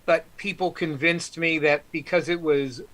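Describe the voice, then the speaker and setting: A man's voice: fairly high pitch, steady tone, measured pace, fairly bright tone. A man guest author telling stories on a live stream, rambling with many ums and restarts. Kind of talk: casual storytelling on a live stream.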